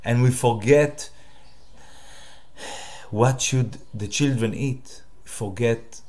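A man from Israel with a Hebrew accent, a native Hebrew speaker hosting his own channel and talking to the camera in a direct, halting way with many fillers. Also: medium pitch, darkish tone. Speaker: channel host. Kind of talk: solo talk to camera.

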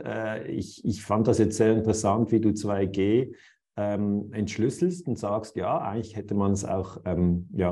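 Ein Mann mit Schweizer Akzent, der als Moderator ein Gespräch führt und oft mit Füllwörtern und Neuansätzen zögert. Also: male voice, fairly low pitch, dark tone. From Switzerland. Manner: hesitant, many fillers and restarts. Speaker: host. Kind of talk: interview over video call.

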